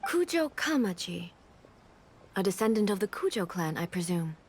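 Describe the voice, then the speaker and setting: A woman voicing a dignified, contemplative ruler deity in an anime video game, speaking in a formal, measured, reflective way. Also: medium pitch, sing-song, darkish tone, husky. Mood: composed inquiry.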